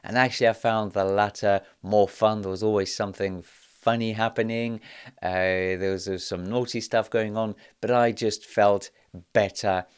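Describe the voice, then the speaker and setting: A podcast host in his thirties, from South Africa and Poland, speaks in a somewhat low register. His tone is smooth and resonant. He tells his own story in a measured, reflective way and often pauses to gather his thoughts. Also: fairly even intonation. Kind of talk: personal storytelling monologue.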